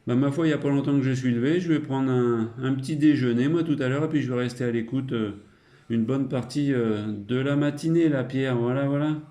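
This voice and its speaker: A man's voice: medium pitch, a little fast, mellow. A man chatting casually as a radio operator, in a rambling, hesitant way. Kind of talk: casual radio contact chat.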